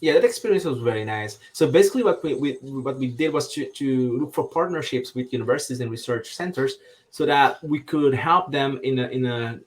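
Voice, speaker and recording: A man's voice: mid-range voice, fairly quick. A man from Brazil whose first language is Portuguese, speaking as a podcast guest with enthusiasm, often repeating words as he searches for phrasing. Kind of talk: casual podcast discussion.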